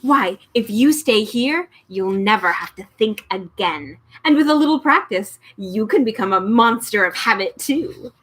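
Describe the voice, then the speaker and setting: A woman voicing a sly male demon of petty tasks, talking slowly in a sour, menacing, coaxing way. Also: mid-range voice, expressive, fairly bright tone.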